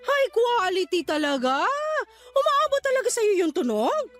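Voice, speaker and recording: A woman's voice: extremely high pitch, very animated, moderate pace. A woman playing a boastful, envious Filipino mother in a radio drama, with an exaggerated, swooping delivery. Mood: boastful, showy. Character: boastful, envious Filipino mother, one-upping her neighbor. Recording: light background music under dialogue.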